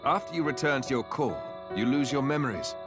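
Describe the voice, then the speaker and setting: A man's voice: medium pitch, animated, fairly quick, music in the background, natural voice. An actor playing a confident, earnest young nobleman in a fantasy game, articulate and encouraging. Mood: thoughtful.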